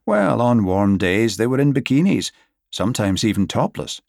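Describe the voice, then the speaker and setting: A man's voice: lowish voice, big pitch swings, normal speed, gravelly, darkish tone, no room sound, studio quality. A man reading a novel aloud as scripted audiobook narration.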